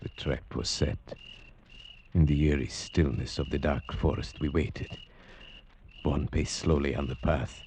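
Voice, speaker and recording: Deep-voiced man narrating a radio thriller. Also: steady tone, background noise. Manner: measured, suspenseful. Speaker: narrator and lead actor. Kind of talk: 1940s radio drama narration. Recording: old radio drama with music.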